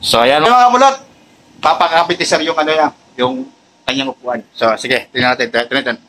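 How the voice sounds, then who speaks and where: A man's voice: a little high, a little fast, bright, forceful tone. A Filipino man chatting casually as he shows products to a customer and viewers, often addressing the customer respectfully. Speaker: motorcycle accessories seller and vlogger. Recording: noisy location with vehicle sounds.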